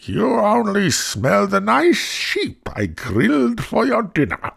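Casting: man as storybook giant's wife, scolding, high-pitched, slow drawn-out words. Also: wildly swooping pitch, creaky, a bit bright, breathy edge, heavily acted.

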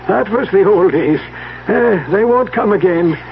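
High-voiced elderly servant, tinny radio. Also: male voice, lively intonation, vocal fry, heavily acted. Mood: wistful. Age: older. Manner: halting, deferential, old-fashioned. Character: elderly, deferential family servant, wistful about bygone magic days. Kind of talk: 1970s radio drama acting. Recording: tinny, noisy old radio broadcast.

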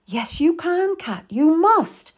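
A woman's voice: high voice, lively intonation, slow, mellow, husky, pitched above the performer's own voice, full character voice. A woman voicing a firm, encouraging female birth attendant, coaxing and emphatic, in a historical audiobook. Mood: urging.

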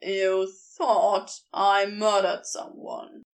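A woman playing a blunt, sardonic male geologist with a gruff, terse, dry manner in an audio story. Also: mid-range voice, flat delivery, extremely slow, voice pushed down. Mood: incredulous.

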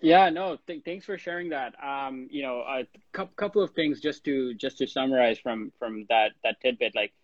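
A man's voice: mid-range voice, moderate pace, hardly any pauses, no echo, lo-fi sound. A man from Bangladesh hosting an interview, asking questions in a casual, loose way, full of "you know" and "like". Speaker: interview host.

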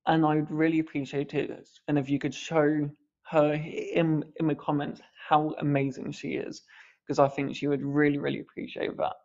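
A man's voice: fairly high pitch, flat delivery, normal speed, a little mellow, lo-fi sound. A man hosting a podcast, speaking earnestly with a mild stammer on the odd word. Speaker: podcast host. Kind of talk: reflective podcast commentary.

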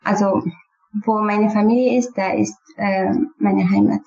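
A woman's voice: mid-range voice, steady tone, unhurried, vocal fry, a little mellow, dull audio. A woman from Syria with a Kurdish accent and Kurdish as her first language, talking about herself as an interview guest in simple, careful sentences. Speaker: podcast guest. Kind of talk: personal interview talk.

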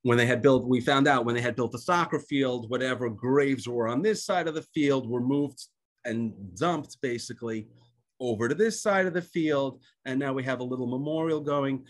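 A man from the United States whose first language is Hebrew, telling a personal story as a guest speaker on a video call, pausing often with fillers and restarts. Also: medium pitch. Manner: halting, with many fillers and restarts.

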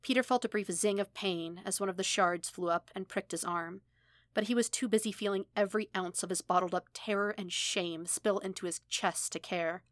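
Female audiobook narrator, medium pitch, scripted prose delivery, close and dry sound. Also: steady tone, normal speed, no echo. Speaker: fan fiction audiobook narrator.

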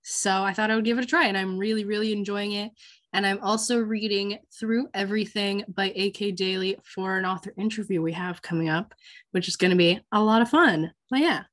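A teenage girl hosting a casual book podcast, a bit hoarse from a cold, chatty and full of ums and likes. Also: mid-range voice, fairly even intonation, brisk, a bit bright. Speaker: podcast host.

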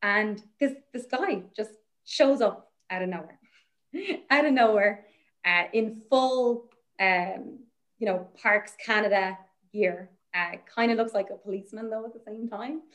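A woman's voice: mid-range voice, a little slow, fairly bright tone. A young Irish woman researcher, chatting as a guest and telling a story in a rambling way, with plenty of laughter and fillers. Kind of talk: casual anecdote on a podcast.

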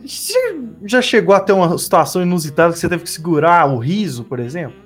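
A woman's voice: on the low side, big pitch swings, quick pace, slightly rough, soft background music. A woman with a Brazilian accent hosting a podcast in a casual, joking, conversational way. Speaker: podcast host.

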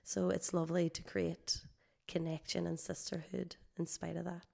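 A woman guest, a holistic healer, explaining her work conversationally in a measured, reflective way, slightly hoarse from a cold. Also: on the low side, level tone, a little mellow.

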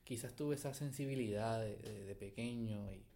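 A young man with a Puerto Rican Spanish accent, from Venezuela and raised in Puerto Rico, speaking in a fairly low voice. He talks in a casual, hesitant way, stopping and restarting his sentences. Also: normal speed, warm tone. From born in Venezuela, raised in Puerto Rico. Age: 20s. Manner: hesitant, with restarts, self-deprecating. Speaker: guest, tattoo artist. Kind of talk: casual conversation.

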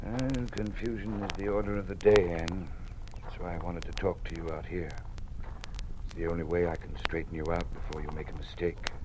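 This is a weary old dean from a vintage radio play, voiced by an actor, with a very deep, low voice. He talks in a measured, cautious hush, as if always afraid of being overheard. A faint hiss runs under the old broadcast. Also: little pitch movement, lightly acted. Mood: confiding. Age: older. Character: weary older male dean, fearful, cautious. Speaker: college dean. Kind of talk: 1950s radio drama acting.

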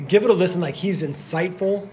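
A man's voice: high-pitched, a little fast, darkish tone. A man co-hosting a podcast in a casual, chatty way, full of "like" fillers. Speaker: podcast co-host.

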